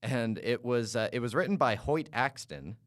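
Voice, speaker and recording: A man hosting a casual music interview, phrasing his questions in a hesitant, halting way. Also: mid-range voice, expressive, no room sound, clean studio sound. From Calgary, Canada. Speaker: interviewer, podcast host.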